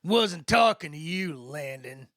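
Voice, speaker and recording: A man's voice: on the high side, expressive, takes it slowly, a bit bright, no room sound, studio quality, pitched above the performer's own voice, heavily acted. A man voicing an angry, drunken, bossy male alien bully in a dramatic story reading. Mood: belligerent. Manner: belligerent, drunken.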